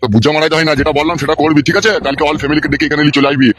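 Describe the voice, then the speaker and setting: A man's voice: fairly high pitch, level tone, racing, fairly bright tone. A man voicing a bossy, foul-mouthed village politician in a comic cartoon, coarse and hectoring, with a rural West Bengal accent.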